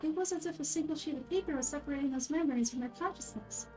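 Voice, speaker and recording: A woman voicing a gentle, wise little girl deity from a video game, speaking in a calm, measured, explanatory way. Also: sits high, faint music behind, close to an everyday voice. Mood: calm, knowing. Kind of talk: video game character dubbing.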